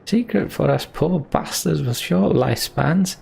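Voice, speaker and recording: A man's voice: on the high side, lively intonation, measured pace, gravelly, a little mellow, husky, pitched above the performer's own voice, heavily acted. A man voicing a crude, world-weary fantasy warrior in a video game, blunt and bantering. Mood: wry, resigned.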